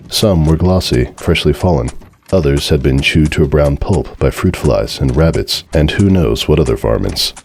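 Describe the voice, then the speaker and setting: A man's voice: very deep voice, a little hiss or hum. A man reading a scripted story as narrator, in a dramatic storytelling style.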